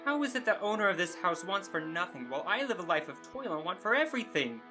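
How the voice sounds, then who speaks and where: A man's voice: sits high, sing-song, fast. An actor playing a poor, weary male porter with a lamenting, declamatory manner in an audio drama. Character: poor, weary, complaining porter, humble and courteous. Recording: prominent guitar music under the voice.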